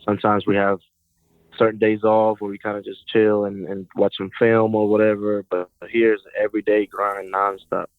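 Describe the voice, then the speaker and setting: A man's voice: lowish voice, moderate pace, smooth, dry recording. A young man from Puerto Rico, a college football player, chatting casually as a guest on a phone interview, with plenty of "you know" and "like". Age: young adult. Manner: relaxed, casual, uses fillers like "you know". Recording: tinny phone line.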